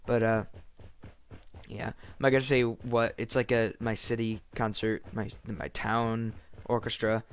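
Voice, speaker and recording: A man's voice: fairly low pitch, a little slow, no echo. Congested, scratchy-voiced teenage male gamer. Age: teens. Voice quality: nasal, scratchy from being sick. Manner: animated, rambling, breaks into shouting and muttering. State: sick, congested voice with cracks. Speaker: gaming YouTuber. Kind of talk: excitable gameplay commentary, casual chat. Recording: clear background noise, clicks.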